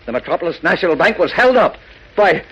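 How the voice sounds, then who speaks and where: An insistent male bearer of news in a vintage radio drama. His husky voice swings widely in pitch as he speaks with dramatic emphasis. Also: very animated, fast talker, fairly bright tone, muffled recording, full character voice. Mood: urgent. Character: emphatic man reporting startling news. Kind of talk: 1940s radio acting.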